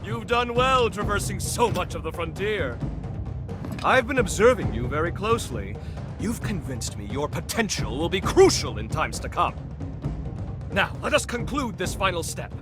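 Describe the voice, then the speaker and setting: A man's voice: high voice, sing-song, moderate pace. A man playing a pompous, self-important immortal revenant scholar in a video game, lecturing in florid, theatrical style. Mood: solemn, approving. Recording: game music behind voice. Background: game music.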